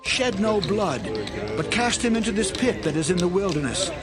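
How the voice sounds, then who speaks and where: A protective elder brother in a Bible audio drama, acted by a man, anguished and pleading. His voice sits high, with music playing clearly behind it. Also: animated, moderate pace. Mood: urgent pleading.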